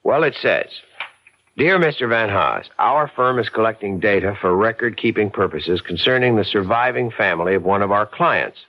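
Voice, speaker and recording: An actor playing a mild, slightly stammering suburban husband in a 1970s radio drama. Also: male voice, lowish voice, animated, moderate pace, lo-fi sound. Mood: measured, puzzled. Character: ordinary suburban husband, mild, puzzled by a letter.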